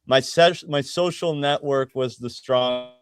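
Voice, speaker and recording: A man's voice: medium pitch, unhurried. A man speaking as a panel guest on an online call, hesitant and often restarting his sentences.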